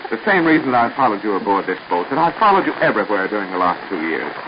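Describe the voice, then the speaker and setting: An actor playing a jealous, lovesick young suitor in an old-time radio drama, earnest, excitable and given to stammering. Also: male voice, lowish voice, fast talker. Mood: lovesick, pleading. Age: young adult. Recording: noisy, muffled old radio recording.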